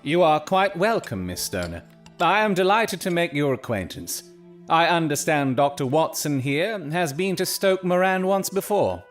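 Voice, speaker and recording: A man playing a brilliant, proud Victorian gentleman detective in an audio drama, with formal, eloquent and theatrical speech. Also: fairly high pitch, lively intonation, moderate pace, faint music behind, natural voice. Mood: courteous, probing.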